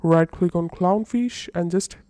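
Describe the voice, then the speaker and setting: A dark, somewhat low voice, a woman through a voice changer, explains software steps. It sounds electronically deepened and instructional, with slight noise and clicks in the recording. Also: measured pace. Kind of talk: step-by-step software tutorial narration.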